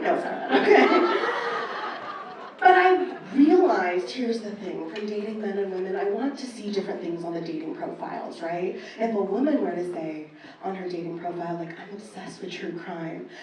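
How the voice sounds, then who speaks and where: A woman in her thirties performing a brash, casual stand-up set on a club stage, telling stories animatedly and acting out exchanges, with some swearing. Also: mid-range voice. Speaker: stand-up comedian. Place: live comedy club stage. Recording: roomy echoing club sound, distant.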